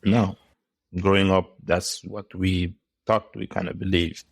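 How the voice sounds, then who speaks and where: Deep-voiced man from Cameroon. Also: little pitch movement, creaky, dry recording. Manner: thoughtful, measured, with pauses and restarts. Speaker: podcast guest. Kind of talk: conversational interview.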